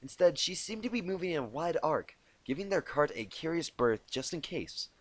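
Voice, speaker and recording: Man, amateur story narrator, animated intonation, informal, self-correcting, dry close sound. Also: fairly high pitch, moderate pace, dry recording. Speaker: amateur fan fiction narrator. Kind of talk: expressive story reading.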